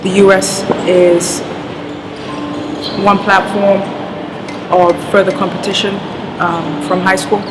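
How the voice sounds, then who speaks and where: A woman from London, a basketball coach and team director, speaking in a measured, earnest interview and often saying "you know". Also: mid-range voice, level tone, very noisy. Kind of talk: interview talk to camera. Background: music.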